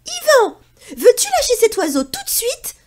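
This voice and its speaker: Woman doing an eager, shrill little boy. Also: normal speed. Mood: shouting. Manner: shrill excited cries.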